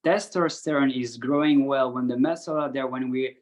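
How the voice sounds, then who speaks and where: A man's voice: medium pitch, steady tone, moderate pace, darkish tone. A man from Belgium, a hypnotherapist, speaking earnestly and steadily in a round-table discussion.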